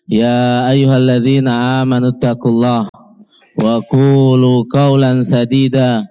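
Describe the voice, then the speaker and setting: A man opening a sermon with a slow, measured formal recitation. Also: medium pitch, level tone, dark tone, clear, resonant tone, muffled recording. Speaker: preacher.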